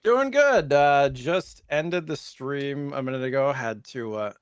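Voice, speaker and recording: A man's voice: mid-range voice, animated, a little slow, clean tone, dry recording, studio quality. A man co-hosting a podcast in casual chat, telling rambling, joking stories. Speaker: podcast co-host and streamer.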